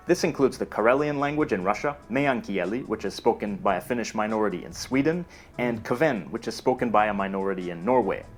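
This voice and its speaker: A man giving scripted educational narration in a steady, explanatory delivery. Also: fairly low pitch, fairly quick. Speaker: narrator of a language explainer video. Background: faint music.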